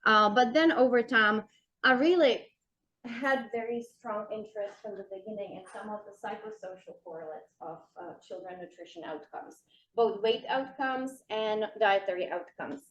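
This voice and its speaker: A woman's voice: mid-range voice, normal speed. A middle-aged woman from the Czech Republic with a Czech accent, a nutrition professor giving a keynote lecture in a lecture room, talking with many fillers and light asides. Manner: many fillers, warm self-deprecating asides. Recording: dull lo-fi sound.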